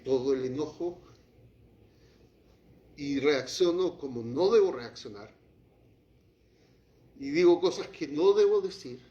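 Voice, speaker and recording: A man's voice: mid-range voice, slight background noise. Impassioned preacher man in an echoey hall. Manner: impassioned preaching, drops to quiet, then rises to acted outbursts. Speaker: pastor. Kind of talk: sermon. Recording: echoey hall, muffled lo-fi sound.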